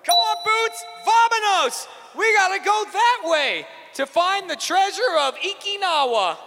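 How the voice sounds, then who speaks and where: A man's voice: very high voice, animated, measured pace, sharp tone, exaggerated voice. A man voicing a peppy, wide-eyed young cartoon girl adventurer with exaggerated cheer in live improv comedy. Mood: perky, urging. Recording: echoey live stage, far from clean.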